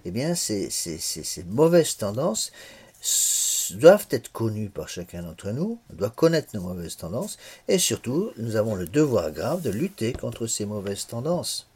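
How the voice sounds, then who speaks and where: An older man in his sixties lectures a class on religious morals. His husky voice swings widely in pitch. He often stalls and repeats small words several times before pressing on. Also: big pitch swings, measured pace, darkish tone. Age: 60s.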